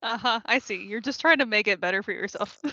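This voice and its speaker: A woman's voice: mid-range voice, fairly quick, bright, forceful tone, muffled recording. A woman chatting casually in a group, with a playful, teasing manner. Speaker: book club podcast co-host.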